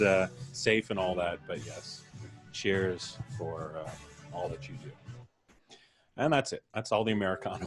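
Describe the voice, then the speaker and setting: A man hosting a live show with casual, jovial, playfully wry banter. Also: sits low. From Canada. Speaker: host.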